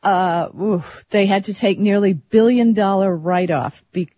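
A woman co-hosts a casual tech talk show. She is upbeat, laughs often, and keeps the conversation moving in a medium-pitched voice. The sound is a bit dull and lo-fi. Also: a little slow, warm tone, no room sound.

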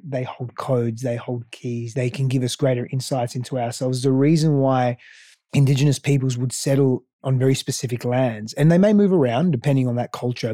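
A man's voice: medium pitch, warm tone, no echo, clean studio sound. A man coach from Australia whose first language is Greek, talking earnestly and repeating words as he thinks. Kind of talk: casual interview chat.

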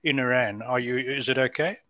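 A man's voice: medium pitch, fairly quick. A man with a Southern African English accent, co-hosting an interview podcast with casual chat and encouraging questions. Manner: warm, encouraging questions with frequent short acknowledgements. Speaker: podcast co-host.

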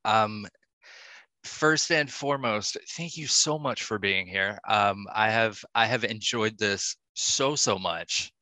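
A man hosting a podcast interview casually, setting up his questions in a deliberate way. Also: on the low side, sharp tone, dry recording. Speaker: podcast host.

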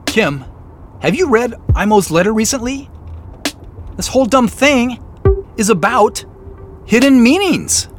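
A man's voice: extremely high pitch, lively intonation, measured pace, long pauses, music in the background. A man acting in an audio drama as a suspicious, on-edge man investigating a mystery, with a dry, guarded manner. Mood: irritated. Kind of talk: naturalistic audio drama dialogue.

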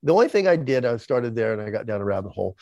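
A man's voice: mid-range voice, sing-song, rapid-fire, gravelly, a little mellow, no echo. A man in his sixties with a lisp, candidly telling his life story as an interview guest, often repeating words as he starts a sentence. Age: 60s. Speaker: podcast guest.

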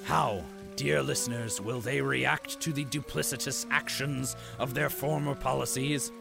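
A man's voice: mid-range voice, steady tone, a little slow, gravelly, music in the background. A man playing a pompous company-loyal narrator in a radio drama, speaking in grave, theatrical scripted narration. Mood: solemn, indignant. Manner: pompous, portentous.

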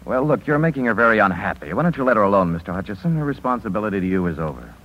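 An actor playing a polite, earnest advertising executive in a 1950s radio drama, speaking with courteous hesitancy. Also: male voice, on the low side, big pitch swings, very fast. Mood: polite, uneasy. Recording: dull 1950s radio broadcast.

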